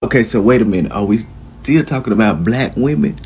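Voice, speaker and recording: Man, low voice, slow and swooping, sarcastic reaction, faint background noise. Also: lively intonation, a little mellow, breathy edge, dull audio. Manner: sarcastic, mocking, drawn out. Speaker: video reactor.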